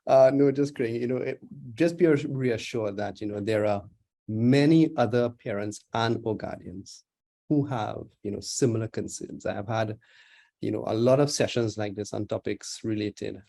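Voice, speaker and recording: Trinidadian man with a mellow voice. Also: on the low side, moderate pace, vocal fry. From Trinidad and Tobago. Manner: conversational, with frequent fillers. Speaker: guest speaker, digital anthropologist. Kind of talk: webinar presentation. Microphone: video call.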